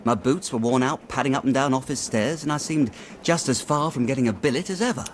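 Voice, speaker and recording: An actor playing an earnest, worried young city clerk in a radio drama, chatty and slangy but deferential. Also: male voice, mid-range voice, a little fast, a little hiss or hum, close to an everyday voice. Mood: earnest, downcast. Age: young adult.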